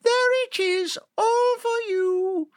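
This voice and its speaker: A storyteller voicing a clever little female lamb who pleads in a sweet, high voice in a children's story. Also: slow pace, dry recording, studio quality. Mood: cheerful.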